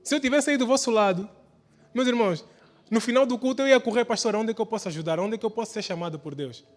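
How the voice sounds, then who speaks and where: Male preacher, high-pitched voice, exhorting and repetitive sermon delivery, long hall reverb. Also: quick pace. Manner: exhorting, repetitive, rhetorical questions. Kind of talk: church sermon. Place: large church hall during a service.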